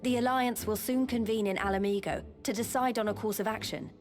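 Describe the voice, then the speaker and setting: A woman's voice: fairly high pitch, fairly even intonation, brisk, lightly acted. An actress playing a friendly, informal woman soldier in a video game cutscene, talking in a frank, wry and casual manner. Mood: briefing. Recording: music behind the voice. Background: game music.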